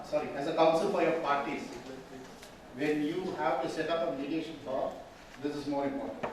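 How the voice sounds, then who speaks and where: A man's voice: on the high side, dark tone, recorded from a distance. A man, teaching a mediation class and often asking the room questions. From Tamil Nadu, India. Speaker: mediation trainer. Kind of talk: classroom lecture. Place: large echoey training hall. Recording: very echoey hall, speaker off mic, faint room hum.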